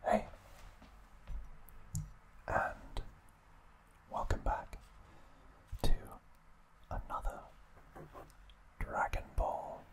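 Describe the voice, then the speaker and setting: A man hosts ASMR in a whisper, with no full voice behind the words. He goes very slowly and deliberately, leaving pauses between short phrases as he narrates trivia in a hushed way. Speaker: ASMR video host.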